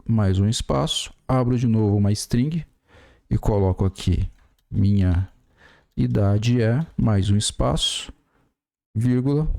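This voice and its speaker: A man's voice: lowish voice, warm tone. A Brazilian man in his forties explaining a coding tutorial step by step while typing, with many fillers. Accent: Brazilian Portuguese. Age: 40s. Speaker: programming tutor. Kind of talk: screen-recorded coding tutorial.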